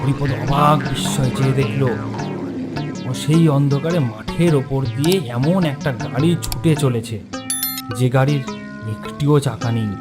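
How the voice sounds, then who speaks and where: A man's voice: medium pitch, measured pace. A man narrating a horror story in a dramatic, scripted storytelling style. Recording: very loud music behind.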